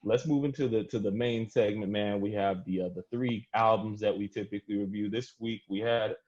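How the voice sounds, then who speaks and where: A man's voice: fairly low pitch, steady tone, brisk, a little mellow, highs cut off. A man hosting a music podcast round table, speaking in a slangy, conversational way with casual swearing. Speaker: podcast host.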